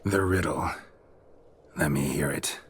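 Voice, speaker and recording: An actor playing a gruff, stoic monster hunter in a video game, terse, low-key and defiant. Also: male voice, slow pace, a little hiss or hum. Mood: wary. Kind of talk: understated video game acting.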